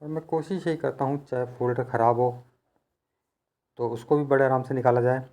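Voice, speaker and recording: A man talks through practical repair work in a conversational tutorial style. His voice sits at a medium pitch. He explains each step patiently, like a working technician teaching his trade. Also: steady tone, a little fast, darkish tone. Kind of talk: hands-on instructional narration, conversational.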